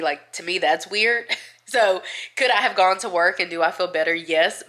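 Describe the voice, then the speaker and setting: A woman's voice: lowish voice, bright, forceful tone. Congested woman chatting. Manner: chatty, rambling, laughs between thoughts. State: congested, nasal from a cold. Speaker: vlogger. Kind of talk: casual chat to camera. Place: at home.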